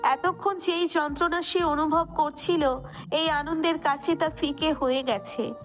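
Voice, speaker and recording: High-voiced woman narrating a story. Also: fairly even intonation, a little slow, soft background music. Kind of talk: scripted romantic story narration.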